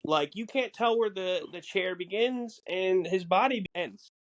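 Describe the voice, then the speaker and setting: A man co-hosting a podcast, explaining things casually in halting, piecemeal phrases. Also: high-pitched, dry recording. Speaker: podcast co-host.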